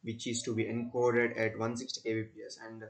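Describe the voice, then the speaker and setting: A man presents a screen-recorded technical tutorial in a medium-pitched voice. He explains each step haltingly, often restating what he has just said. The delivery is that of a plain, practical demonstration. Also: completely flat delivery. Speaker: student presenter demonstrating software.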